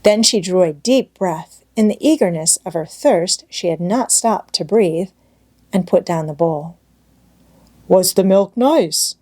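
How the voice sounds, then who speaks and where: A woman's voice: fairly low pitch, a little slow, warm tone, husky. A woman reading scripted storybook narration as an audiobook narrator.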